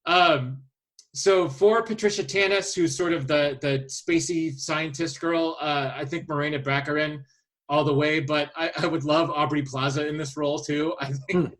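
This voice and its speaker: Male podcast host, voice a bit on the high side; casual, hesitant, thinking out loud as he presents. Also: steady tone. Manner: hesitant, halting, thinks aloud. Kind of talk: casual chat, presenting a list of casting picks.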